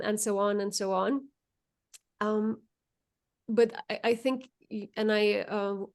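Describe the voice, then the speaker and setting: A woman's voice: mid-range voice, darkish tone. A woman hosting a podcast chat as a coach, speaking in a flowing, repetitive way with many fillers and restarts. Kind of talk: casual chat.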